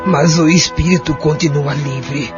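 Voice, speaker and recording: Man acting a devout, higher-pitched older woman. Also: steady tone, a little slow, natural voice. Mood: consoling. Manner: gentle moralising. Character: calm, devout, consoling elderly woman, a patient's wife. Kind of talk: audio soap opera acting. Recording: lo-fi audio under clear music.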